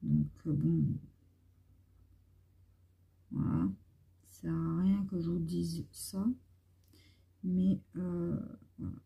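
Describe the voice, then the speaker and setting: A hoarse woman vlogger chatting casually and meanderingly to her viewers into a phone at home. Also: lowish voice, fairly even intonation, drawn-out words, pauses often, warm tone. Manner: rambling, meandering talk.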